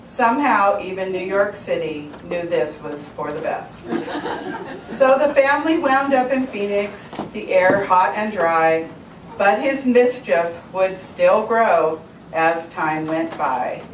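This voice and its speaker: A woman's voice: mid-range voice, animated, takes it slowly. A woman reading humorous rhyming verse aloud to a family gathering. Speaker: family member reading a tribute poem. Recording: echoey, distant, very noisy recording.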